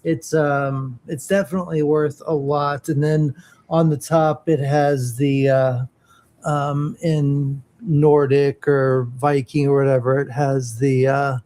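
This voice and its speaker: A man chatting casually on a morning podcast, halting and searching for words as he shows off collectibles. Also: medium pitch, flat delivery, warm tone. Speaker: podcast co-host. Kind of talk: casual morning show chat.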